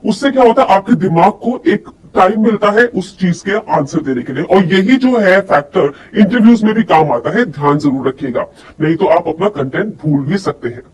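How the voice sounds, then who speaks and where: A man's voice: high voice, expressive, normal speed. A man giving an instructional lesson as a coach, explaining conversationally and putting questions to his viewers. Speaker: personality development coach. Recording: distant mic with faint background noise.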